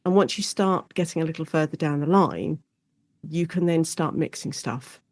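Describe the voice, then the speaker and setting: A woman with an English accent explaining things as an expert guest in a conversational interview, in an animated, informal way. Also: sits low, moderate pace, no room sound.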